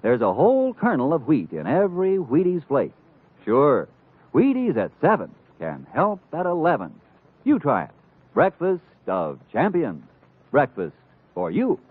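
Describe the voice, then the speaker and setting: Male 1950s ad announcer, punchy and emphatic, higher-pitched, on thin phone-like vintage audio. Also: wildly swooping pitch, a little slow, slightly rough. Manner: punchy, emphatic, repetitive salesman delivery. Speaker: commercial announcer. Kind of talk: 1950s radio advertisement pitch. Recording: thin, narrow old radio recording.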